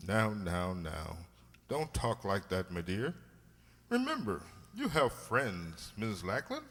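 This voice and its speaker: A man playing a blustering, indignant but kindly gentleman doctor in a radio drama, full of old-fashioned exclamations. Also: on the low side, big pitch swings, slow pace, full character voice. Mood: consoling. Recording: reverberant hall sound.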